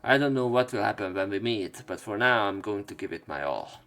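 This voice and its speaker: A man's voice: lowish voice, animated, brisk, fairly bright tone. A man reading a visual novel aloud as a let's play narrator, mixing in casual, crude joking asides. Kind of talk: reading game text aloud with commentary. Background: faint game music.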